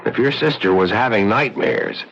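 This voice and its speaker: A man's voice: lowish voice, moderate pace, close to an everyday voice. An actor playing a concerned, sensible man in a radio drama, plain-spoken and reasoning. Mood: frank, reasoning. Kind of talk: 1970s radio drama acting. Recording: muffled old radio drama recording.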